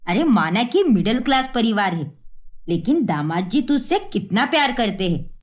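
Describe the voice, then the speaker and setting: A woman's voice: fairly high pitch, sing-song, normal speed, pitched below the performer's own voice. Woman voicing a scolding, blunt middle-aged mother. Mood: exasperated. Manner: exasperated, lecturing.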